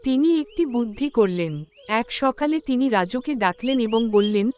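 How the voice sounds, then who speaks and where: High-voiced woman narrating a moral story. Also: animated, measured pace, darkish tone, slight background noise.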